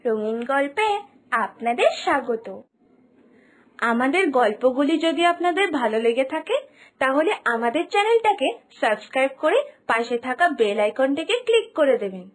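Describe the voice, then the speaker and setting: Female channel announcer, high pitch, very animated intonation, scripted greeting. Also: moderate pace.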